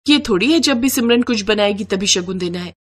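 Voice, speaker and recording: Woman acting jealous elder sister-in-law, scheming, curt, resentful muttering, medium pitch, storybook villainess. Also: expressive, brisk, slight background noise, natural voice.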